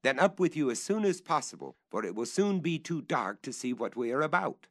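A man voicing an excited treasure hunter who shouts orders up a tree in a raised, high voice. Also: a little fast. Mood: excited, commanding.

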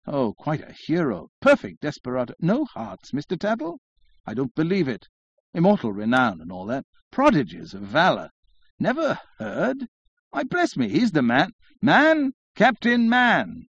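Guy putting on a gossipy widow lady from a storybook, high and fluttery, full of exclamations. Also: huge pitch swings, moderate pace, husky, no room sound, dull audio, heavily acted. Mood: gossipy, exclamatory. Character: pretty, gossipy society widow chatting over cards.

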